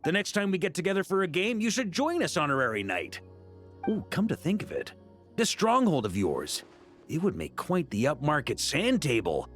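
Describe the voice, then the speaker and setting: An actor playing a talkative, good-humored middle-aged knight grandmaster in scripted video game dialogue, with a theatrical way of chatting. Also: male voice, on the high side, wildly swooping pitch, normal speed, a bit bright, soft background music, full character voice. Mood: chatty, enthusiastic.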